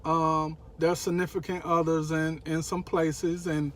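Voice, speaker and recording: A man's voice: a little high, level tone, measured pace. An American man co-hosting a relationship vlog, chatting casually in a colloquial, joking way. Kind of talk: casual chat.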